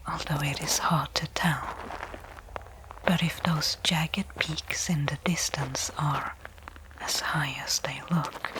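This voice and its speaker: A woman's voice: deep voice, low-quality recording. A woman playing a stranded space explorer, resigned yet hopeful, whispering a candid, conversational log in a sci-fi ASMR roleplay. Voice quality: soft whisper.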